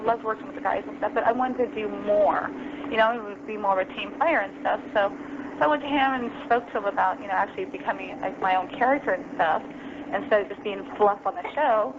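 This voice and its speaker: A woman's voice: medium pitch, quick pace. Chatty woman on a noisy phone line. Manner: chatty, many ums and you-knows. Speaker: interview guest. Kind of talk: casual phone interview storytelling. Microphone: phone call.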